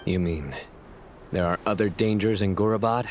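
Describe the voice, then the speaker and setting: A man's voice: on the low side, moderate pace, darkish tone, a little hiss or hum, lightly acted. A man playing a serious, dutiful guardian in a video game, composed, direct and authoritative. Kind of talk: video game dialogue acting. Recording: music behind the voice.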